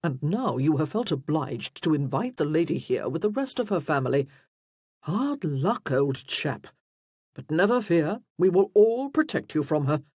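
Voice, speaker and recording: A narrator acting a jovial young gentleman in a period romance audiobook. He banters heartily and teasingly, with very animated pitch and a somewhat husky voice. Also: normal speed, dry recording, studio quality.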